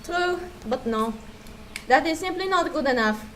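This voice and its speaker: A woman's voice: high-pitched, animated, measured pace, fairly bright tone, a little hiss or hum. A woman playing a haughty, taunting lumberjack leader in a comic radio play. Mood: pompous disdain. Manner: mocking, pompous.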